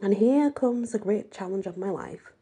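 A woman reading a first-person story aloud with expressive, dramatic delivery and a husky, breathy voice. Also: mid-range voice, normal speed. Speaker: amateur audiobook reader.

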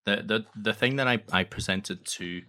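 Male interview guest, deep but forward, bright voice, earnest, feeling his way through answers. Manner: earnest, halting with repeated words. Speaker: podcast guest, audio drama creator. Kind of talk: casual interview chat.

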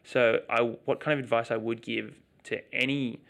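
A man's voice: mid-range voice, level tone, moderate pace, creaky, a bit bright. A chatty, outgoing man with an Australian accent, talking casually as a guest in an interview. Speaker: interview guest, video creator.

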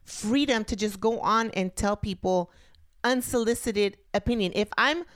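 A woman's voice: medium pitch, moderate pace, no echo, clean studio sound. A woman co-hosting a podcast in casual chat, outspoken and swearing freely, mixing in some Spanish. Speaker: podcast co-host.

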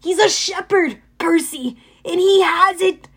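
A woman's voice: very high voice, unhurried, pitched above the performer's own voice. A woman voicing a nervous, frightened young male satyr in an audiobook, pleading and anxious. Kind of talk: audiobook character voice.

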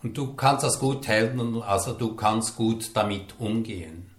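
Curious interviewer, roomy sound. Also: male voice, on the low side, a little slow. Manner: curious, probing follow-up questions. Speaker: interviewer, podcast host. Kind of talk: interview questions.

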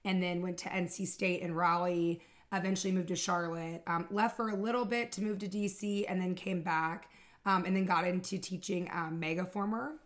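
A woman's voice: on the low side, steady tone, moderate pace. A woman in her thirties from Fayetteville, North Carolina, a fitness trainer chatting playfully as a podcast guest. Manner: playful, joking. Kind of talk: casual interview chat.

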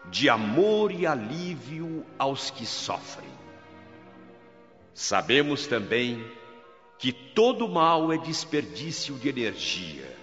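A grave spirit-colony governor. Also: male voice, medium pitch. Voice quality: grave, measured, emphatic delivery. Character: solemn governor of a spirit colony, male, commanding. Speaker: governor preaching to workers. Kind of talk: radio drama acting. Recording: dull radio-drama recording with long reverb. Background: soft music.

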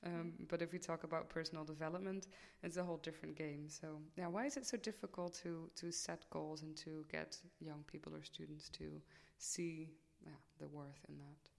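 Creaky-voiced woman hosting in a reverberant space. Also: low-pitched, steady tone, moderate pace, few pauses. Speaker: podcast host and student life coach. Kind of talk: podcast interview, conversational. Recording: reverberant hall-like room sound.

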